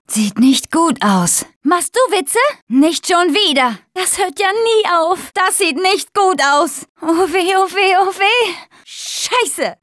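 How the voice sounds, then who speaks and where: A young female zombie-apocalypse survivor from a video game, with a high voice. She talks tough but cares for her team, and delivers her short lines at an unhurried pace. The sound is dry and close. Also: a bit bright, no echo, full character voice. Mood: annoyed, worried.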